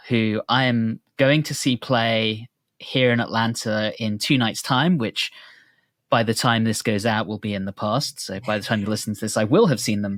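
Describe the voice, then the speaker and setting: A man's voice: mid-range voice, a bit bright, no echo. A man with a mid-range voice co-hosts a podcast. He talks in a casual, conversational style. The sound is dry, with no audible room around him. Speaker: podcast co-host.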